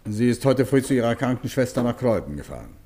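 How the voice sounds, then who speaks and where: An actor playing a cultured, high-strung music publisher under questioning in a German radio crime drama, precise and formal, in an echoing room. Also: male voice, very animated, fast, dark tone, slight background noise, lightly acted. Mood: formal, defensive. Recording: roomy echo.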